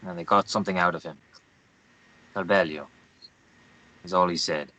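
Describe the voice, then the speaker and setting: A forceful, low-pitched male journalist as a man acts him in a drama read-through. He is driven and suspicious, with a sharp edge to the tone. The delivery is clipped and insistent. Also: fairly even intonation, moderate pace, rough recording. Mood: urgent.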